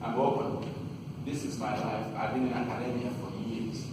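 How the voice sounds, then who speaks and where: Nigerian priest preaching in an echoey church. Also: male voice, medium pitch, fairly even intonation, moderate pace, noisy background. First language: Igbo. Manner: rising to loud emphatic repetitions. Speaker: chaplain and priest. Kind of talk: homily at Mass. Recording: distant mic, echoey church with long reverb.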